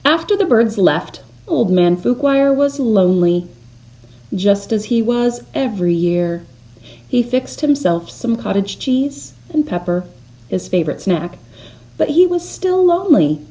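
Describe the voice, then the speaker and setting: A woman narrates a children's story as a read-aloud host. Her delivery is slow and deliberate, and her pitch moves widely as she leans on key words for drama. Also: very animated, warm tone, rough recording. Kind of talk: expressive children's picture book narration.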